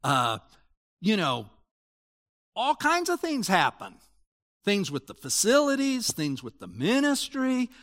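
A high-voiced man preaching in a church, his pitch swinging up and down as he explains scripture. His delivery is conversational, full of restarts, and the sound rings with long hall reverb. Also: wildly swooping pitch, slow pace, pauses often, a bit bright. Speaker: pastor. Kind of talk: sermon.

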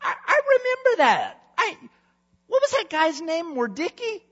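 A man voicing a vain, forgetful, self-important ancient king who booms and rushes his words. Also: wildly swooping pitch, fairly bright tone, husky, full character voice. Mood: indignant. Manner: booming, impatient, self-important. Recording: dull reverberant hall sound.

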